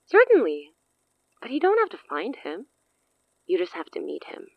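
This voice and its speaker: An actress playing a cunning, self-assured sorceress in an audio drama, speaking in a theatrical, silky, condescending way. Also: female voice, mid-range voice, wildly swooping pitch, moderate pace, no echo, dull audio. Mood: poised, persuasive. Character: cunning, self-assured woman sorceress offering a dangerous pact.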